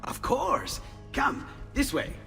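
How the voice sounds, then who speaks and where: An excitable Renaissance painter-inventor in a game cutscene, speaking with an Italian accent. His intonation leaps about as he exclaims and mutters to himself. Long reverb surrounds him, with music underneath. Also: very high-pitched, slow pace, creaky, full character voice. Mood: delighted, flustered. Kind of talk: video game cutscene acting.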